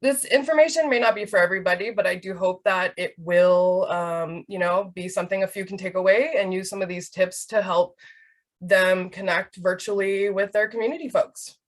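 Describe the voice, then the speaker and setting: A woman's voice: medium pitch, moderate pace. A woman in her thirties from Hawaii, presenting a webinar in a casual, chatty way with many fillers. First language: Hawaiian Pidgin. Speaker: research project manager.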